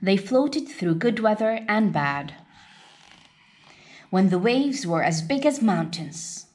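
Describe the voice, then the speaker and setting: Woman reading a children's story, lively intonation. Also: mid-range voice, takes it slowly. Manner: expressive, dramatic storytelling delivery. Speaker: storyteller reading a picture book aloud.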